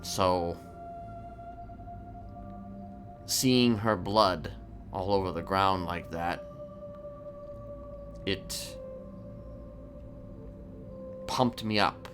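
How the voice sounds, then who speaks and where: A man playing a calmly boastful, evasive serial killer in improvised crime roleplay, rambling and hesitant as he confides. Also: low-pitched, over music. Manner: halting, rambling, hesitant delivery.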